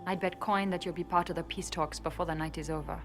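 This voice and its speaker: Shrewd female elf diplomat played by a woman for a fantasy game: lowish pitch, wry and persuasive, music behind. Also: steady tone, fast talker, natural voice. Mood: sly. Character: shrewd, wry female elven ambassador and spymaster. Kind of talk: dramatic video game dialogue.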